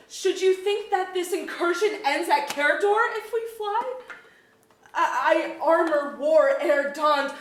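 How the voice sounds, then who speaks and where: A woman playing a proud, fearless warrior queen in a staged reading of archaic verse drama. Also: sits high. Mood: defiant. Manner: stately archaic verse delivery. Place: indoor auditorium stage. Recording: echoey auditorium.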